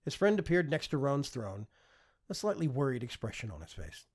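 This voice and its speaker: A man narrating a fiction audiobook with expressive, scripted storytelling delivery. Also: medium pitch, fairly quick, husky.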